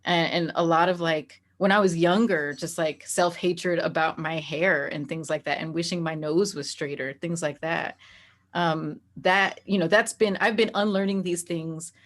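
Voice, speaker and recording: A woman with a somewhat low-pitched voice talks as a guest in a conversational interview. She sounds reflective and informal, speaking candidly in everyday language. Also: steady tone, moderate pace.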